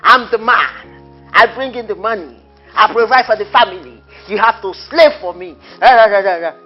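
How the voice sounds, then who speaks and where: Woman as mocking wife, mid pitch, dull audio, faint music. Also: moderate pace, breathy edge, natural voice. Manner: scornful, mocking. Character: mocking wife who leaves her husband. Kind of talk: drama acting.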